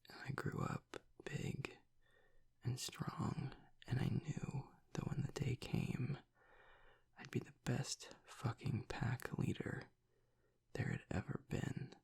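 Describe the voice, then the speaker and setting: A man acts out a young male werewolf pack leader in an audio drama, a tough guy cracking with grief. His voice is very husky and full of heavy creak, often sinking to a whisper. He speaks slowly and haltingly, choked up. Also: medium pitch, a little mellow, exaggerated voice. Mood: sorrowful, halting. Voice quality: breathy, raspy whisper with gravelly breaks. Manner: halting, fragmented, swearing under strain. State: choked up, grieving. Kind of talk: intimate audio roleplay monologue.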